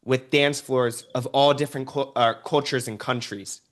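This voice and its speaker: A man's voice: medium pitch, steady tone, normal speed. A man hosting a podcast interview, asking questions in an admiring, enthusiastic way. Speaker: podcast host. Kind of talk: casual interview chat.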